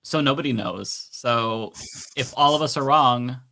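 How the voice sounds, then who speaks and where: A man's voice: medium pitch, unhurried, creaky, pushed and bright, low-quality recording. A man co-hosting a casual livestream, chatty, blunt and joking. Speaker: co-host.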